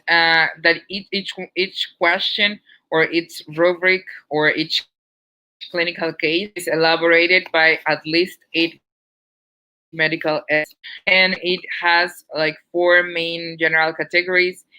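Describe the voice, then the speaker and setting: A woman presenting on a webinar over a video call, halting with many fillers and restarts. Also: deep voice, sharp tone, rough recording. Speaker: medical student presenter.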